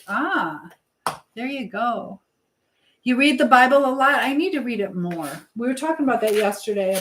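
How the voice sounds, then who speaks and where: A woman talks off the cuff on a livestream in a mid-pitched voice. She rambles and pauses, thinking aloud as she replies to chat. There is some background noise with small clicks. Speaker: livestream host answering live chat. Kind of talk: casual live chat, reading comments aloud and replying.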